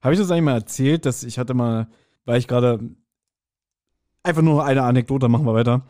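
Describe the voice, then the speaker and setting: A man co-hosting a podcast in casual chat, telling things like an animated storyteller. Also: medium pitch, brisk. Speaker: podcast co-host. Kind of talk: casual chat, speculating about an audio drama episode.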